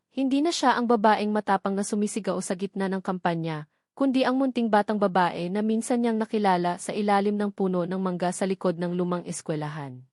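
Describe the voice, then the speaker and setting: A woman's voice: mid-range voice, a little mellow, dry recording, clean studio sound. A woman with a Filipino accent narrating a scripted love story in a dramatic storytelling style.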